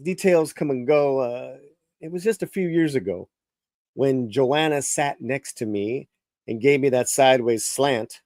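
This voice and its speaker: A man performing a dramatic live reading of his own first-person novel, swinging between hushed lines and emphatic ones, often restarting words. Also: medium pitch, unhurried, no echo, clean studio sound.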